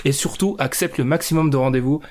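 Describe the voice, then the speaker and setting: A man's voice: fairly high pitch, fast talker, darkish tone, a little hiss or hum. A man hosting a casual basketball chat, chatty and joking as he hands questions to the others. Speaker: podcast host.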